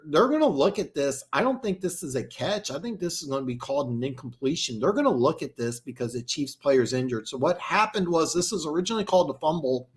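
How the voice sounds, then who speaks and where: A young man doing live play-by-play and reaction for a football game, excitable and prone to fillers, with a sore throat as he starts to lose his voice. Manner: excitable, jumps high and loud on big plays, lots of fillers. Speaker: amateur play-by-play commentator.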